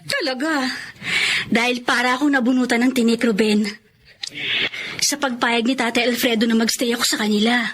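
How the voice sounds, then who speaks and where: A fairly high, earnest young Filipino woman, played by a radio actress, confiding like a hardworking big sister. Noticeable noise runs behind the voice. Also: fairly even intonation, moderate pace, pauses often, fairly bright tone, close to an everyday voice. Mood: relieved, earnest. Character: hurt but relieved hardworking young elder sister. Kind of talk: Filipino radio drama acting.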